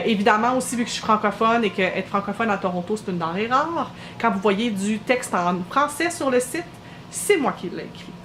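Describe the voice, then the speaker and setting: A woman's voice: medium pitch, a little hiss or hum. A woman in her thirties with a Québécois French accent, chatting casually to camera in a vlog, with frequent fillers. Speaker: vlogger.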